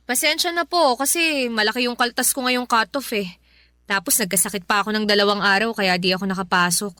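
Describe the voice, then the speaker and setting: A woman's voice: mid-range voice, a little fast, sharp tone, no echo, studio quality, close to an everyday voice. A woman acting a dutiful working elder daughter with a Filipino accent, polite yet exasperated, in a radio drama. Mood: apologetic. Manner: pleading, polite, earnest.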